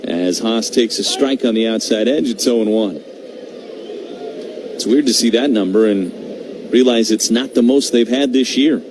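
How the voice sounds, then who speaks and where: Guy doing radio baseball play-by-play, mid pitch, pauses between calls, lots of background noise. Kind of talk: live baseball radio commentary. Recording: noisy live radio broadcast.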